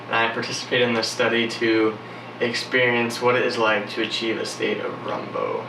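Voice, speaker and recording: A man's voice: medium pitch, little pitch movement, normal speed. A twenty-year-old man answering interview questions in a playful, boastful way, recorded from a distance in an echoey, noisy room. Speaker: study participant. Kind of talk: casual on-camera interview.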